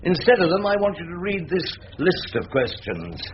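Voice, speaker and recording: A man's voice: a little high, sing-song, normal speed. An actor playing a wily defence barrister in a radio courtroom drama, sarcastic, pompous and needling as he cross-examines. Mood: sardonic, needling. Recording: dull lo-fi radio recording, faint noise.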